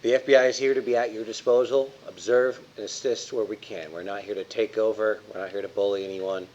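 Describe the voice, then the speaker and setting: A man's voice: fairly low pitch, steady tone, a little fast, a little mellow, natural voice. A man playing a polite, diplomatic federal agent with a courteous, formal way of talking in a tabletop game. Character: polite, diplomatic FBI agent, cooperative newcomer. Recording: dull audio with faint hiss.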